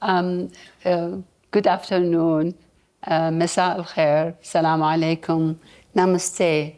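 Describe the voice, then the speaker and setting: Low, even-toned woman with Iraqi Arabic accent. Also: takes it slowly. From Baghdad, Iraq. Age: middle-aged or older. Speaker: interview guest, nonprofit founder. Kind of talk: personal story told at a live event.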